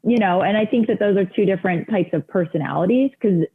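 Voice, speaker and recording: A woman's voice: mid-range voice, fast talker, narrow, tinny audio. A woman podcast host in casual chat, encouraging and reflective, talking in long run-on thoughts.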